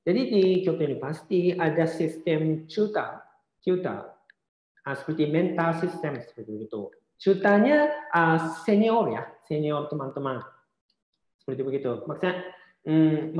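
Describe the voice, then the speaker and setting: Guy doing an online seminar talk, kinda high voice, halting but reassuring, echoey room. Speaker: seminar presenter on studying abroad. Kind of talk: informal online seminar presentation. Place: indoors, online seminar call. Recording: muffled, roomy online call audio.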